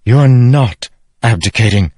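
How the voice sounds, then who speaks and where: A man's voice: mid-range voice, lively intonation, slow pace, a little mellow, no echo, clean studio sound, voice pushed up. A man voicing a stern, regal older woman, mother of a king, in a fantasy audiobook with firm delivery. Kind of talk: fantasy audiobook character acting.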